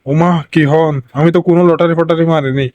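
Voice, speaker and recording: A man's voice: on the high side, steady tone, a little fast, a little mellow, no echo, lightly acted. A man playing a wily, blunt local in a comic phone call, speaking colloquial Bangladeshi Bengali with a Chittagonian accent in a questioning way. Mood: puzzled, doubtful. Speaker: prank call recipient.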